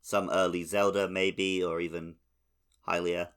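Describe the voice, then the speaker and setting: A man's voice: low-pitched, flat delivery, a little slow, a bit bright, clean tone. A man co-hosting a podcast, talking casually about a video game. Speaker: podcast co-host.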